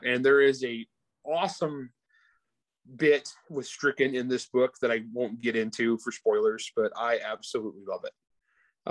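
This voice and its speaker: A man's voice: mid-range voice, a bit bright, no echo, low-quality recording. A man speaking as a guest author in a casual interview, informal and slangy, often restarting his sentences. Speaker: guest author discussing own book.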